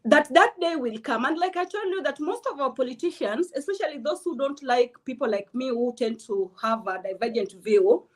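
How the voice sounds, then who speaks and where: A woman's voice: high-pitched, lively intonation, moderate pace, hardly any pauses. A woman from Kenya, giving blunt, emphatic political opinions as an interview guest.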